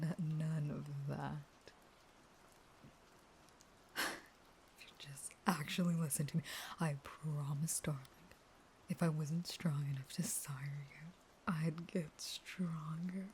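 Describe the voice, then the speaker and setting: A woman acts out a starving female vampire in an intimate audio roleplay, low and breathy, mostly in whispers. She draws her words out slowly, an unstable caged lover who both pleads and threatens. Faint noise sits behind the voice. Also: mellow. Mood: feverish pleading.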